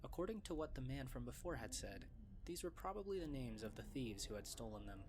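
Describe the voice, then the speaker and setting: Man narrating a story at medium pitch. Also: sing-song, fairly quick, background noise.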